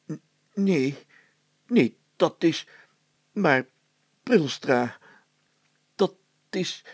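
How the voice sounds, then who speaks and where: A man voicing a pompous, fussy, excitable town mayor in a story, exclaiming and stammering when flustered. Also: on the high side, very slow, breathy edge, no echo, heavily acted.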